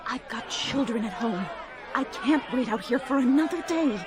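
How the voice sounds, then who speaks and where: A woman's voice: fairly high pitch, lively intonation, moderate pace, lightly acted. Insistent townswoman in a noisy crowd played by an actress. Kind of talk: video game voice acting.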